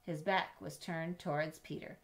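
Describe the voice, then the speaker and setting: Low-voiced woman reading a children's story. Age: middle-aged. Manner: expressive, measured, speaking to young listeners. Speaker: storybook reader. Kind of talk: children's read-aloud with chatty asides. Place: indoors in a home room with bookshelves.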